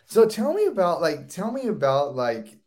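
A man's voice: high-pitched, very animated, normal speed, a little mellow. Eager man hosting an interview. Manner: enthusiastic, repeats and restarts words. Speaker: host. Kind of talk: casual interview.

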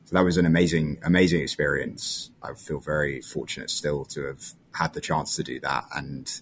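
A man's voice: a bass voice, steady tone, normal speed, gravelly, slight background noise. A man, answering interview questions in a friendly, reflective way with small restarts. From London, England. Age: 20s. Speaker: guest.

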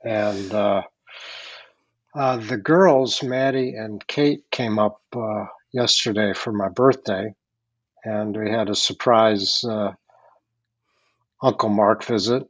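A man co-hosting a podcast, rambling through wry stories with lots of pauses and fillers. His voice is mid-pitched, and the recording sounds slightly dull and lo-fi. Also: husky, no echo. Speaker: podcast co-host. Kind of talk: casual banter.

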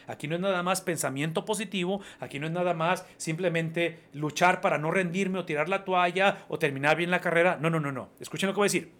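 A man's voice: high-pitched, fairly bright tone. A man preaching a sermon in Spanish, emphatic and repetitive, often checking in with his listeners. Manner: emphatic, repeats phrases, asks the congregation "¿verdad?". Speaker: pastor.

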